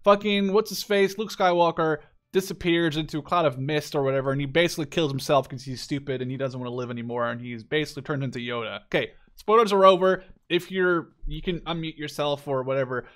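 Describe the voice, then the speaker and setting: A man's voice: a little high, quick pace, fairly bright tone. A man in his twenties giving casual, unscripted gameplay commentary, rambling and swearing freely as he shares his opinions. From Canada. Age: 20s. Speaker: gaming video creator.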